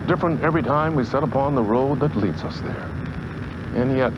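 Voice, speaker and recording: A man plays an eerie TV anthology host, mysterious and theatrical. He introduces the show with ominous deliberation. The sound is dull, with very loud noise all around him. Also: mid-range voice, fairly quick, close to an everyday voice.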